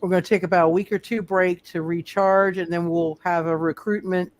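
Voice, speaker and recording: A man hosting as game master in casual talk, halting and often repeating himself as he circles back. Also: high-pitched, flat delivery, a little hiss or hum. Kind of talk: casual sign-off talk on live roleplay show.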